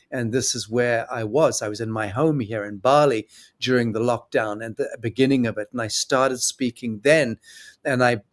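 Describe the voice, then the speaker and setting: A man being interviewed at his home, talking at length about spiritual ascension, circling with many ums and repeated words. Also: medium pitch. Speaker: guest. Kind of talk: long-form spiritual monologue.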